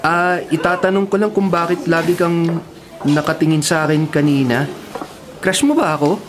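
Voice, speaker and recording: An actor playing an anxious yet cocky young college student from the province, with a Filipino accent, in melodramatic radio drama style. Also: male voice, on the high side, moderate pace, noisy background, natural voice. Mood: cocky, insistent. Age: young adult. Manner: expressive, melodramatic thinking aloud. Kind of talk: Filipino radio drama acting with spoken thoughts. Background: music bed.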